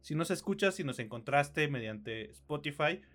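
A man's voice: fairly high pitch, animated, normal speed, a bit bright. A man in his late thirties with a Mexican accent, co-hosting a casual film and TV review podcast in an opinionated, slangy, sweary manner. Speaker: podcast co-host.

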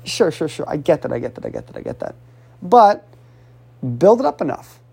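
A man's voice: fairly high pitch, a little fast, vocal fry, husky. A male speech coach talks through his methods in a loose, conversational way. He repeats himself for emphasis and sighs between phrases. His voice jumps up when he urges the listener on. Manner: animated, repetitive, urging, with audible sighs. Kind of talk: casual coaching talk.

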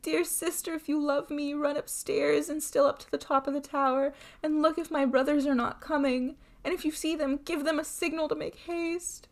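A woman voicing a terrified young wife in a storybook tale, pleading in a breathless, halting, urgent way. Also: on the high side, a bit bright. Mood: desperate, pleading.

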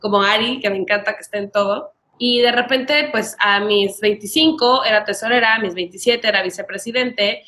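A woman's voice: mid-range voice, fairly even intonation, moderate pace, few pauses, pushed and bright. A woman in her early thirties with a Mexican accent, telling her story casually and animatedly as a podcast guest, often acting out bits of dialogue. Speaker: podcast guest, wedding planner.

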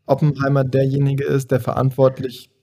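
A young adult man co-hosting a podcast, chatting casually about films in a measured, thoughtful way with frequent hesitations. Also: mid-range voice, monotone, dark tone. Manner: measured and thoughtful, hedging with fillers. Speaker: podcast co-host. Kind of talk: casual film-discussion chat.

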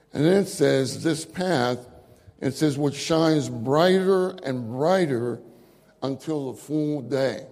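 A man preaching a sermon to a church gathering into a microphone, with a loud voice and phrases repeated for emphasis. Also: on the high side. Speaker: speaker at a church conference. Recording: long hall reverb.

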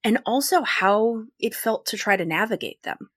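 A woman's voice: on the high side, expressive, moderate pace, fairly bright tone, dry recording, clean studio sound. A woman hosting an interview podcast, asking thoughtful questions in a conversational way. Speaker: podcast host.

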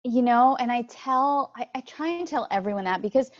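A woman as a podcast guest, talking about her faith in a lively way and jumping up in pitch when she quotes others. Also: a little fast, no room sound, muffled recording. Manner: animated, jumps pitch when quoting others. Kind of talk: faith-based podcast conversation.

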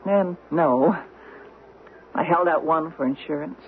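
High-voiced, suspicious partner. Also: male voice, slow, a little hiss or hum. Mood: uneasy. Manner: probing, hesitant. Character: suspicious, uneasy partner following a hunch. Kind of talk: old-time radio mystery acting. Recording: muffled old radio broadcast recording.

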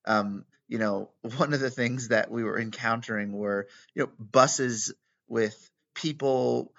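A man hosting a podcast interview in a conference room, chatty with many ums and pauses. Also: mid-range voice, creaky, fairly bright tone. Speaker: podcast host. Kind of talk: casual interview. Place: conference room with poor acoustics. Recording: lo-fi, dull sound.

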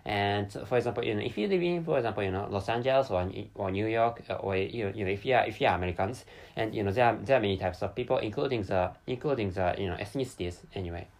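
A man's voice: lowish voice, a little mellow. A man with a Japanese accent, a non-native English speaker hosting a solo spoken episode, who halts and restarts often as he searches for words. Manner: halting, searches for words, many restarts and fillers. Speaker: podcast host.

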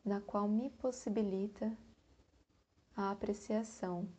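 A Brazilian woman guiding a meditation in a soft, breathy, calm voice, leaving long pauses between short phrases. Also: medium pitch. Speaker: meditation guide. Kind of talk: guided meditation.

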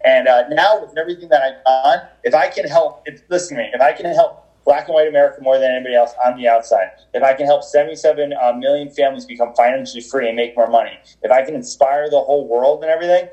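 A man's voice: medium pitch, mellow, highs cut off. A man talking as a podcast guest over a call, rambling with many restarts and fillers. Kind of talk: energetic conversational interview. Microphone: remote call.

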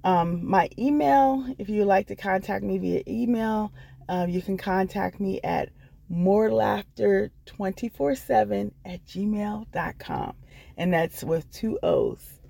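An American woman shares a personal story in a conversational, unscripted way. Her voice has a noticeable creak at a middling pitch. She comes across as earnest and encouraging, like a guest storyteller speaking straight to listeners. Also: slow pace, mellow. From Washington D.C. area, United States. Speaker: guest storyteller, comedian and actress.

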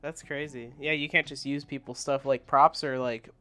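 A man's voice: medium pitch, steady tone, normal speed, bright, forceful tone. A man co-hosting a podcast, chatting casually in a blunt, informal way. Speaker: podcast co-host. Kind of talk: casual chat about entertainment news.

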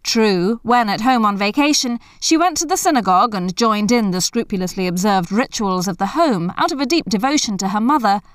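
A woman reading a scripted biographical narration in a measured, formal manner. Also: medium pitch, expressive, smooth, no echo, studio quality.

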